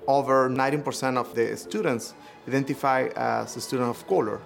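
A young adult man from Venezuela with a Venezuelan Spanish accent, a conductor speaking earnestly and with measured hesitations about music education. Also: medium pitch, fairly even intonation. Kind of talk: reflective personal testimony. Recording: interview over clear music. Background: instrumental music.

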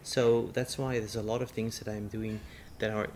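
A middle-aged man, a chef, interviewed in a restaurant, talking in a halting way with many restarts. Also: fairly low pitch, level tone, darkish tone, a little hiss or hum. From Singapore. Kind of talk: casual interview.

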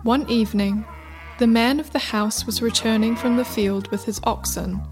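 A woman's voice: a little high, fairly even intonation, moderate pace. A woman narrator reading a scripted radio play in a storybook style. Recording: faint music under narration.

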